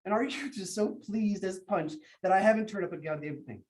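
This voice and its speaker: A man's voice: high-pitched, a little fast, a little mellow, close to an everyday voice. An actor playing a shaken young man who stammers as he retells a violent fight, in a stage play. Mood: bitter, guilty. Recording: roomy, distant from mic.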